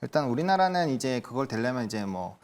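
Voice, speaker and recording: A man's voice: mid-range voice, very animated, fairly quick, a little mellow. A man working as a stock analyst, explaining the market in a conversational way during live commentary.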